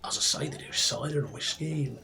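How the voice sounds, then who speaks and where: Soft-spoken, gravelly fantasy barkeep played by a man. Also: mid-range voice, close to an everyday voice. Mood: gentle, chatty, proud. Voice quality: soft, breathy, often near a whisper. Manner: unhurried, chatty, rambling with pauses. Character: friendly, proud human tavern barkeep in fantasy town. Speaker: roleplay performer. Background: soft music and tavern ambience.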